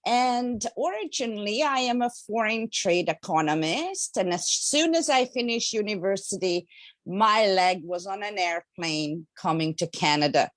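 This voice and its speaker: Slow-speaking woman from Hungary. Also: medium pitch, expressive, dry recording. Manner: slow, earnest storytelling. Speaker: guest speaker. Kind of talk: personal story on a talk show.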